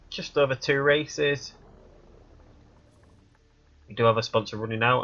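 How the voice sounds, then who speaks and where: Gravelly, sniffly man commentating a game. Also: mid-range voice, fairly bright tone. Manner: rambling, thinking aloud while playing. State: stuffy, sniffly with a cold. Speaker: let's play gamer. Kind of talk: casual gameplay commentary. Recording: dull lo-fi recording, faint music behind.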